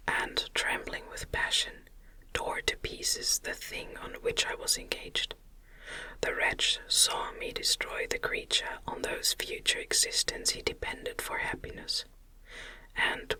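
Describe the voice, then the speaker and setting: Slow, breathy ASMR whisper. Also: bright, forceful tone. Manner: slow, soothing, deliberate cadence. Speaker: audiobook narrator. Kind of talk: ASMR whispered reading of a classic novel.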